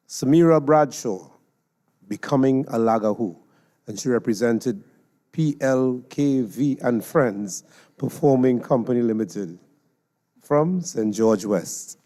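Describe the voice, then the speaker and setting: Male competition MC, Trinidadian accent. Dark, relaxed tone, slow formal announcing. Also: medium pitch. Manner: measured, formal. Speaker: competition master of ceremonies. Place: stage at a live competition.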